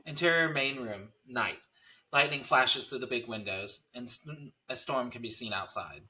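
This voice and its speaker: Bright-voiced man narrating a screenplay. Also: medium pitch, moderate pace, rough recording. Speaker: stage-direction narrator. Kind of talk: scripted narration at a table read.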